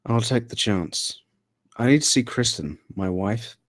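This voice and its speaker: A man's voice: mid-range voice, expressive, a little slow, no echo, clean studio sound. A man playing an uneasy husband tangled in an affair, in a film script table read. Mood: wry.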